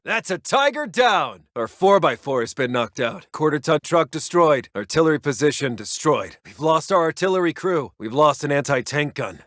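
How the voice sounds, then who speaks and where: A battle-weary American military intelligence officer for a strategy game. His voice sits a little higher than most men's, with a clipped, soldierly delivery of grave field reports. The sound is dry, with no room around it. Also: a bit bright, no room sound. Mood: pleased, energized. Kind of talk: scripted military radio-report game voice lines.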